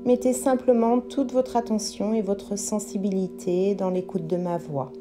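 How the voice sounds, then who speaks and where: Female yoga nidra guide, medium pitch, calm and instructive, measured phrasing, meditation music clearly underneath. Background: meditation music with singing bowl tones.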